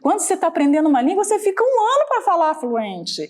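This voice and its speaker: Woman preacher, mineiro Brazilian accent, very high voice, lively swooping delivery, chatty sermon. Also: quick pace. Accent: Brazilian Portuguese, Minas Gerais. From Brazil, Minas Gerais. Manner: conversational, asks the listeners for agreement. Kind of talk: sermon teaching.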